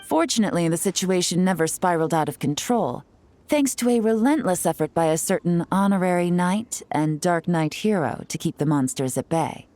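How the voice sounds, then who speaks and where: A woman playing a cool, shrewd young intelligence agent in a video game, speaking in a measured, knowing, composed way. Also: lowish voice, expressive, warm tone, close to an everyday voice. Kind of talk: video game voice acting, story exposition.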